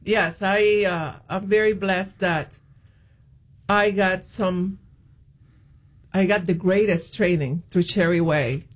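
A woman's voice: fairly low pitch, slow, long pauses. Hesitant woman guest. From Puerto Rico. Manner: hesitant, many ums and restarts. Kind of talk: interview, telling her faith story.

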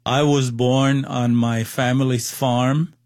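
Cuban-accented man in his sixties, interview guest, slow and halting, dry close sound. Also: medium pitch, level tone, a little mellow, no echo. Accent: Cuban Spanish. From Cuba. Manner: hesitant, many fillers and restarts. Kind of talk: personal testimony interview.

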